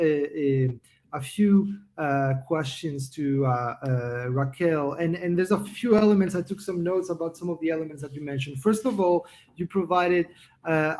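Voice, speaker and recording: A man with a somewhat high voice moderates an online panel. He is not a native English speaker, and he hesitates a lot, restarting his sentences. He is heard through a video call. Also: darkish tone. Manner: hesitant, many fillers and restarts.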